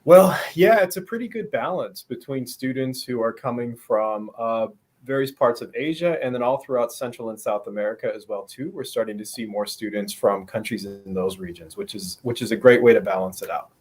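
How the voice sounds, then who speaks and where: A middle-aged man who runs international education at a college, answering with easy enthusiasm. He has a mid-pitched, ordinary voice and a brisk, upbeat way of praising his school. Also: darkish tone, slightly rough.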